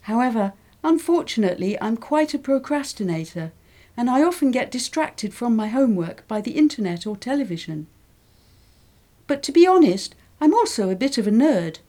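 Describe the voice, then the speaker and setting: A woman reads scripted lesson passages aloud in a mellow, warm tone that sits a little high. Her delivery is clear and careful, and her pitch rises and falls with lively movement as she works through each sentence. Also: moderate pace. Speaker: reader of English learning texts. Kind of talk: scripted reading of model texts.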